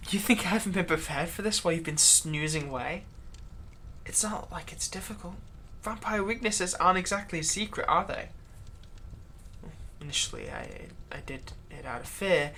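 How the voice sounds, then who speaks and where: A woman playing a long-serving, exasperated human servant of a vampire in a dramatic roleplay monologue, halting and sardonic. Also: on the low side, sing-song, creaky, a bit bright, slight background noise, full character voice. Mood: wounded, menacing. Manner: conversational, halting, sardonic, emphatic when aggrieved. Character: long-serving human thrall, exasperated, pleading, turning menacing toward vampire master. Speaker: roleplay audio performer.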